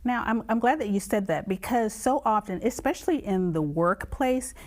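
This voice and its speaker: A woman's voice: mid-range voice, expressive, normal speed, a little mellow. A woman hosting a TV interview, asking long conversational questions that set up her point before landing it. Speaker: TV host.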